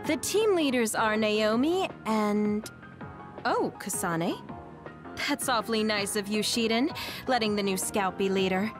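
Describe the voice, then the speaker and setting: A woman's voice: medium pitch, expressive, measured pace, lightly acted. A woman playing a genial, easygoing military squad commander in an anime game, breezy yet authoritative. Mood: amused. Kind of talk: English anime-game voice acting. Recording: music behind the voice.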